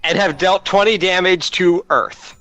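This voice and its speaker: A man's voice: high-pitched, unhurried, sharp tone, lo-fi sound, faint music behind. A man talking casually as a player in a tabletop role-playing game.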